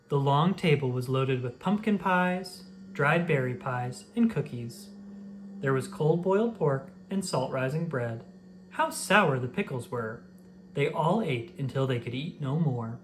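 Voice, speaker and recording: Storybook narrator, slow gentle delivery, mid-range voice, read-aloud for children. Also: mid-range voice, expressive, slight background noise, rough recording. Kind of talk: reading a children's picture book aloud.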